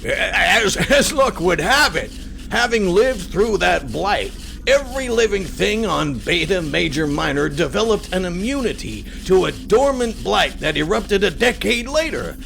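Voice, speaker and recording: A man's voice: high voice, sing-song, measured pace, gravelly, fairly bright tone, a little hiss or hum, exaggerated voice. An actor playing an earnest, nervous underling in an audio drama, stammering and over-explaining as he reports.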